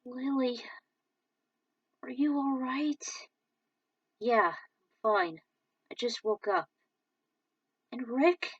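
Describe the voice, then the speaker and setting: Woman acting out an anxious young heroine, raised pitch. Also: lively intonation, very slow, long pauses, slightly rough, no echo, distant mic, lo-fi sound, heavily acted. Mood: concerned.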